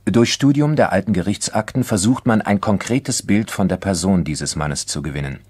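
A man narrating a crime documentary drama from a script in a formal, measured manner. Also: low voice, animated, darkish tone, husky, no echo.

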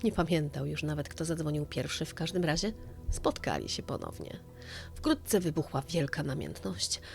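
Female narrator, low voice, scripted true-crime storytelling over clear background music. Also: big pitch swings, normal speed.

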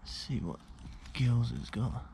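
A young man foraging in woodland, quietly muttering amazed remarks into a camera he carries. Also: mid-range voice, animated, slow pace, gravelly, a little hiss or hum. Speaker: forager vlogger. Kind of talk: casual walk-and-talk narration. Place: outdoors in woodland. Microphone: action camera worn or handheld.

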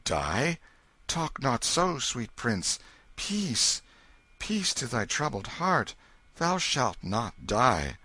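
A stern old king softens into gentle, archaic speech, as a man reading a storybook puts him on. The voice is raised above the reader's own and carries a lively lilt. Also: mid-range voice, takes it slowly, dry recording, pitched above the performer's own voice, exaggerated voice. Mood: tender. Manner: archaic, soothing, kingly speech. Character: stern aging king, gentle toward his son.

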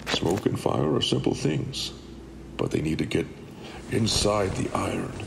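Give the listener a huge, wise smith from a fantasy audio drama. His voice is low and heavily gravelled, patient as he instructs, with clearly noisy ambience around it. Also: expressive, measured pace, heavily acted. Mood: wise, encouraging. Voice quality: deep, gravelly. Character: Erebus, big deep-voiced male blacksmith mentor, patient and wise.

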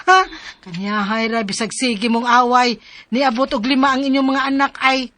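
A woman's voice: on the high side, steady tone, moderate pace, a bit bright, natural voice. A woman playing an exasperated, caring friend in a radio drama, exclaiming and scolding, with a Cebuano Filipino accent.

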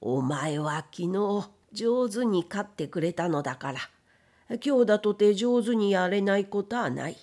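A woman's voice: mid-range voice, lively intonation, a little slow, darkish tone. A woman voicing a stern, probing old factory owner in an audiobook, with blunt, imperious, old-fashioned speech. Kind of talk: audiobook character reading.

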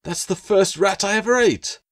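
Male reader as talking gecko, raised pitch, proud, boastful, theatrical, fantasy storybook. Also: moderate pace, natural voice. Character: cocky, proud talking male gecko familiar, easily wounded.

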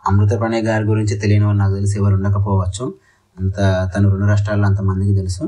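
Telugu guy doing youtube showbiz update voiceover, polite, pretty monotone, sounds a bit away from the mic. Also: lowish voice, rapid-fire, distant mic. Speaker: YouTube channel host. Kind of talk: entertainment news update voice-over.

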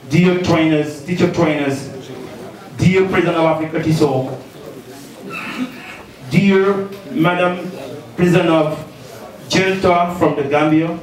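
A man's voice: a little high, darkish tone. Senegalese man reading a formal welcome speech in an echoey hall. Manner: measured, ceremonious, reading aloud. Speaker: association president. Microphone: handheld mic, filmed from a distance. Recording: echoey hall, distant, faint noise.

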